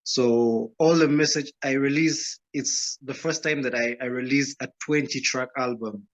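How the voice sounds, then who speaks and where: A man, a guest musician answering interview questions in a calm, measured, unhurried way. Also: medium pitch. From Uganda, Rwandan roots. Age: 30s. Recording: dull lo-fi sound.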